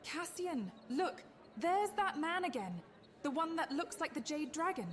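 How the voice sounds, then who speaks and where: A woman's voice: very high voice, lively intonation, unhurried. A woman playing a bold, curious young Roman in a fantasy audio drama, eager and forthright. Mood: excited.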